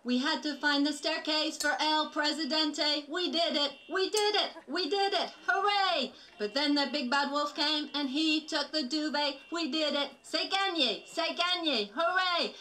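A woman voicing an excitable little girl adventurer in a live puppet show, exclamatory and sing-song. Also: sits high, measured pace, hardly any pauses. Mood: triumphant. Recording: faint outdoor background noise.

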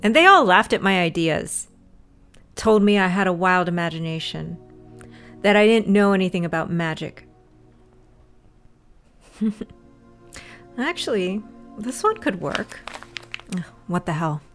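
A woman's voice: fairly low pitch, expressive, unhurried, pauses often, a bit bright. Brief: fierce young witch, brooding monologue, music under. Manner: intense inner monologue, bursts of anger. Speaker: lead character. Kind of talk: audio drama acting.

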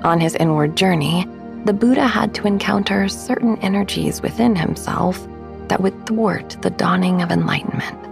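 A woman narrating a sleep meditation in a soft, breathy, gravelly voice with a soothing, unhurried delivery. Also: fairly low pitch, music in the background. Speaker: podcast host and meditation guide.

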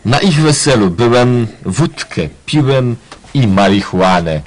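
Husky mock-solemn male narrator. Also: medium pitch, lively intonation, takes it slowly. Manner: mock-solemn, tongue-in-cheek. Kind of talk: scripted ironic radio play narration.

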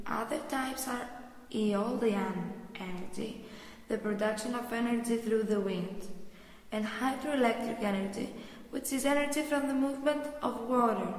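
A woman playing an inquisitive, studious girl in scripted educational cartoon dialogue, reading out explanations deliberately. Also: on the high side, lightly acted. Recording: very echoey room, long reverb.

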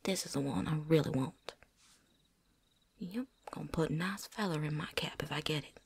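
A woman's voice: sits low, gravelly, slight background noise. A woman playing a chatty, cocky, seasoned vampire hunter in a whispered ASMR roleplay, rambling folksily. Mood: sly, confiding. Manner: folksy, rambling, with drawn-out asides.

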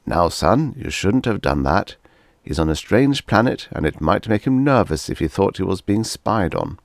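A man voicing an excitable, pompous middle-aged professor in a story reading, full of wondering exclamations. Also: low-pitched, normal speed, vocal fry, no room sound, heavily acted. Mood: enthusiastic.

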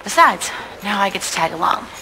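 A woman's voice: mid-range voice, big pitch swings, a little fast, bright, forceful tone, a little hiss or hum, rough recording. A young woman playing a cheeky, stubborn female gang lieutenant in a video game, with a casual, defiant manner. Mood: teasing. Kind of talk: video game cutscene acting.

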